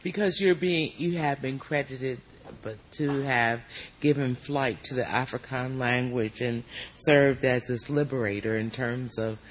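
A man interviewing a guest, mostly giving short affirmations and asking measured questions. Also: mid-range voice, fairly even intonation, a little hiss or hum, poor audio.

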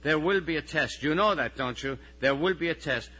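Man playing a harried, loud, high-pitched schoolteacher. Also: normal speed. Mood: weary, sarcastic. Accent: Irish. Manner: loud, pleading, repetitive questioning. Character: harried, exasperated male high school teacher managing unruly pupils.

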